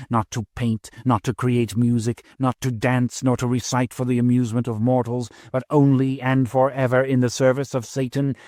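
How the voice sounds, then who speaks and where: A man voicing a cold male cult leader who intones slowly in archaic speech. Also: mid-range voice, darkish tone, dry recording, clean studio sound, close to an everyday voice. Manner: slow, intoning, old-fashioned thee and thou.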